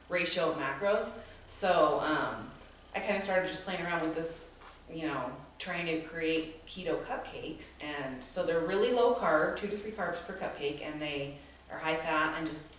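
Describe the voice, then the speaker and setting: American female guest from Utah, lower register, informal chat, heavy room echo and slight background noise. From Utah, United States. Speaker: interview guest, baker and business co-owner. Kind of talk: casual chat. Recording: very echoey room, distant mic, noisy.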